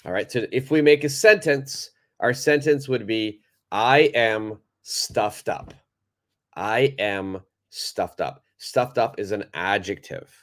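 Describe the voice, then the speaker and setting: A man teaching English in a live online lesson, who speaks slowly and deliberately, repeats key words for his learners, and has a stuffed-up nose. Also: on the low side, a bit bright.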